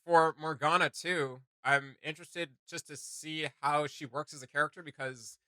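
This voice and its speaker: A male co-host with a sharp, very bright voice on the higher side, captured close and dry. He chats casually and animatedly, rambling through his thoughts and leaning playfully on his emphatic points. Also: steady tone, normal speed, dry recording. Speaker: co-host of a reaction video channel. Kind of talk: casual chatty reaction commentary.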